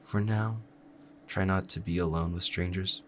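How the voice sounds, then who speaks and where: A man performs a lovestruck assassin with a very deep voice. He speaks formally and gently to the listener, courteous yet quietly dangerous, in an intimate audio roleplay. A faint ambient noise sits behind him. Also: steady tone, moderate pace, natural voice. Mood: protective, tender.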